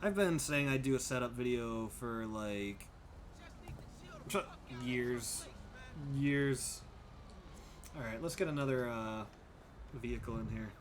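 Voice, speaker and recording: A man's voice: mid-range voice, slow pace, long pauses, noisy background. A young man livestreaming a driving game, chatting casually, thinking aloud and thanking viewers by name. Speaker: streamer. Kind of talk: casual livestream chat while gaming.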